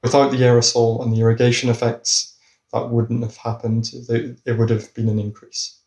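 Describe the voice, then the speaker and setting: A man of middle pitch answering interview questions as a climate scientist. He speaks in a measured, explanatory way, and his voice has a noticeable roomy echo. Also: monotone, darkish tone.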